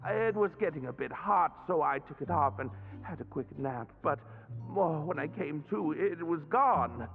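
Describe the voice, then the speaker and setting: A man's voice: a little high, lively intonation, normal speed, creaky. A man voicing a timid, embarrassed young man in a video game, hesitant, stammering and polite, pleading for help through a muffled effect. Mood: embarrassed, earnest. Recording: muffled, narrow-band, faint music behind.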